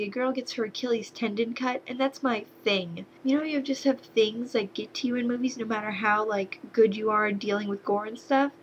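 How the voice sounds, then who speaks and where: Young woman, slight southern accent, fairly high voice, sarcastic, exasperated, faint hiss behind. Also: poor audio. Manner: sarcastic, exasperated, animated rants. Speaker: movie reviewer. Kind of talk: casual movie review to camera.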